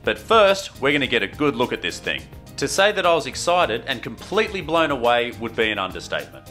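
A man's voice: medium pitch, very animated, a little fast, sharp tone. A man narrating his travel vlog in an enthusiastic, storytelling voice-over. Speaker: travel vlogger. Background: faint guitar music.

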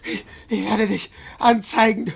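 An actor playing a cornered, defensive man under questioning in a crime radio drama, halting and protesting. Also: sits high, flat delivery, gravelly, slightly rough, heavily acted. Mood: defensive, agitated.

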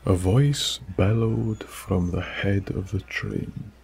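A man with a Scottish accent narrating a soft-spoken bedtime story in a slow, hushed, soothing way that drifts into whispers. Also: deep voice, vocal fry, husky.

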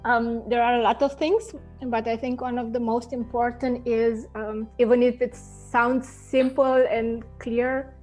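A woman in her thirties, a marketing head being interviewed over a video call, speaking in a measured, hesitant way with frequent fillers. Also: a little high, a little mellow, highs cut off. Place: indoor home office. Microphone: wired earphone mic on video call.

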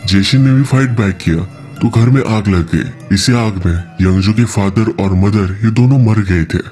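A man with a fairly low voice narrates a film recap over faint background music. He tells the story as a scripted explanation, in simple, plain sentences sprinkled with English loanwords. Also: a little mellow. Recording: music under the voice.

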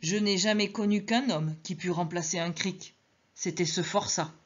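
A woman's voice: lowish voice, normal speed, lightly acted. A woman voicing a cold, watchful police inspector who stresses each word, on a dull lo-fi recording. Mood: pointed.

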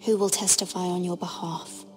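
An actress playing a young noble handmaiden in a video game, earnest and guarded, speaking politely and with care. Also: female voice, fairly low pitch, flat delivery, normal speed, natural voice. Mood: worried, earnest. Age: young adult. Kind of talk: dramatic video game dialogue. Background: music.

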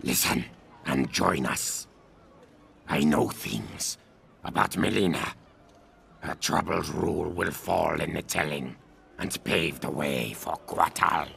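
Deeply gravelly reptilian informant. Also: male voice, a bit bright, slight background noise, heavily acted. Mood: conspiratorial. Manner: slow, ominous declarations. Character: sly reptilian humanoid male informant, scornful and knowing. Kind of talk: dramatic fantasy game acting.

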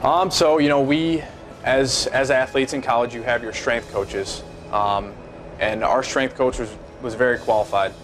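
A young man in his twenties, a former pro baseball player, answering interview questions in a high-rise office, halting with many fillers and restarts. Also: fairly even intonation, music in the background. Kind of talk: seated interview, casual recollection.

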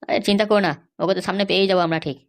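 A woman's voice: on the low side, fairly even intonation, racing, natural voice. A woman voicing a defiant, hot-headed young married man in a comic cartoon, blustering and argumentative. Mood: protesting.

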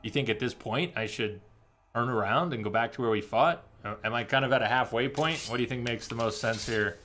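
A man's voice: medium pitch, brisk, fairly bright tone, faint music behind. A man in his thirties giving casual, easygoing game commentary, thinking aloud and chatting with viewers. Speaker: live game streamer. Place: indoor room, streaming at a desk.

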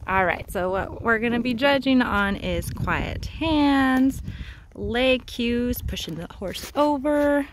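A woman coaching a horse rider in an outdoor arena, upbeat and teasing, quick to praise. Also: on the high side, expressive, slight background noise. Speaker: riding instructor.

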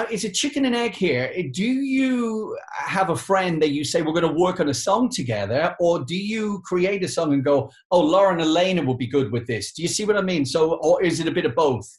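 A middle-aged man hosting a chatty interview from a living room, with wordy, roundabout questions. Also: sits high. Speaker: radio host, interviewer. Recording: echoey living room video call.

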